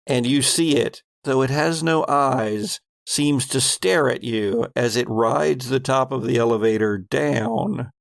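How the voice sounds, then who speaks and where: A man's voice: mid-range voice, takes it slowly, gravelly, few pauses, dry recording. A man working as game master, narrating a tabletop horror role-playing game in a conversational, descriptive storytelling way.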